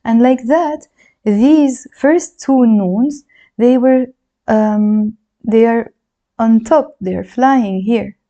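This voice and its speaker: A woman's voice: fairly high pitch, very slow, mellow, highs cut off. A woman who is a native Arabic speaker with a Lebanese accent, teaching handwriting in a chatty, encouraging way and counting along as she writes. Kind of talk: handwriting lesson, demonstrating while talking.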